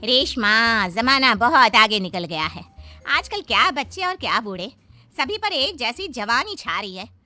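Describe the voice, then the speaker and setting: A woman acts a gossipy village neighbour in a cartoon tale. The voice is fairly high and bright, knowing and inquisitive, with music underneath. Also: sing-song, unhurried, no room sound, full character voice.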